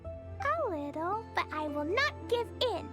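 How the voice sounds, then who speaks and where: Game child: small brave girl, tiny high voice, slow animated lines, earnest and cute. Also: over music, exaggerated voice. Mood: earnest, determined. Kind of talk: fantasy video game voice acting.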